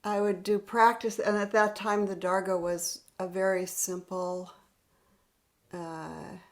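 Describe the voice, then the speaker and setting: Gentle woman guiding meditation over a video call; very slow, soft, unhurried. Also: mid-range voice, level tone. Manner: soft-spoken, often half-whispered; gentle, unhurried, laughs lightly. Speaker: meditation leader. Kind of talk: guided spiritual practice.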